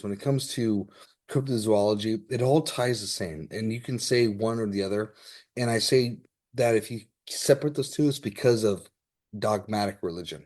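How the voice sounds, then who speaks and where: A man hosting a casual, exploratory interview, talking haltingly and repeating himself as he searches for words. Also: fairly low pitch, darkish tone. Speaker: podcast host.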